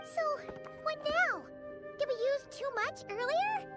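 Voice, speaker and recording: Tiny childlike game companion, extremely high, squeaky, excitable, earnest, over loud music. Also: female voice, takes it slowly, exaggerated voice. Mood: worried, alarmed. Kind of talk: video game voice acting.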